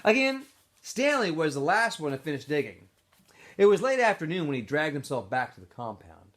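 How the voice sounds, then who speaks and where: Man reading aloud with very lively pitch. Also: normal speed. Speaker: teacher reading aloud to school. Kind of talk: expressive storybook read-aloud with informal introduction.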